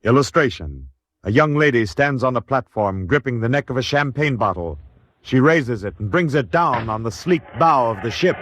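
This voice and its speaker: A man's voice: medium pitch, animated, moderate pace, a bit bright, lightly acted. A man setting scenes for a radio drama in a formal, declarative scripted style. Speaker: scene announcer. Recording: noisy engine ambience behind.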